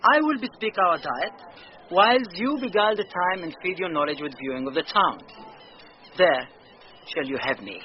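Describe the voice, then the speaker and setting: An actor playing a devoted, anxious sea captain, speaking verse earnestly in classic radio Shakespeare style. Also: male voice, high voice, animated. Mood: guarded, generous. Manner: earnest, measured verse delivery. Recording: dull, faintly noisy old radio broadcast.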